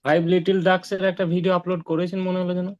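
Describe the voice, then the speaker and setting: Man, rapid speech, casual questioning, dull online call sound. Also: high-pitched, flat delivery.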